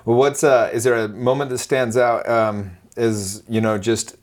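A man's voice: mid-range voice, steady tone, a little slow. A man from Utah hosting a podcast, asking long rambling questions full of fillers in a casual, enthusiastic way. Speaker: podcast host. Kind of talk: casual interview chat.

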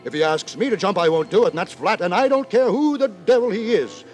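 A man playing a pompous, wry gentleman narrator in a 1950s radio drama, telling his tale with ornate, mock-solemn deliberation. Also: sits high, lively intonation, fairly quick. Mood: indignant. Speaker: narrator and lead actor. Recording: dry 1950s radio with music.

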